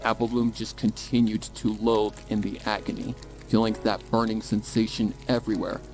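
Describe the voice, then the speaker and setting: A man reading a dark horror story as a dramatic scripted narration. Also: on the low side, level tone, moderate pace. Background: music.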